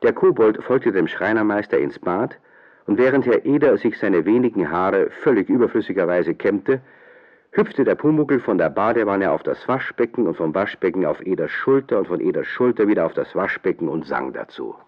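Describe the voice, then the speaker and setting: A very deep-voiced man narrates a scripted children's story. His delivery is highly animated, with wide swings of melody. The recording is a slightly dull radio play. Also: normal speed.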